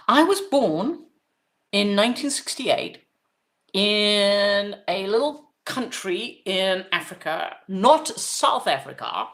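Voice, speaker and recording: A woman's voice: medium pitch, a bit bright. A woman in her fifties from Zimbabwe, hosting a podcast in a casual, rambling monologue full of fillers and restarts. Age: 50s. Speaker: podcast host.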